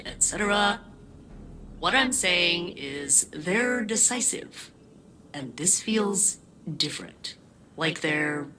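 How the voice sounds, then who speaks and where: A woman's voice: fairly low pitch, pushed and bright, slight background noise, heavily acted, poor audio. A woman playing a sardonic, blunt AI companion in a video game, with wry, flippant delivery. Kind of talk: video game character dialogue.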